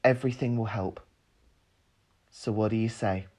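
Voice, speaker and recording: A man's voice: fairly low pitch, fairly even intonation, normal speed, darkish tone, lightly acted. A young man playing a nervous, self-deprecating young prince who speaks earnestly to rally his people in an audio drama.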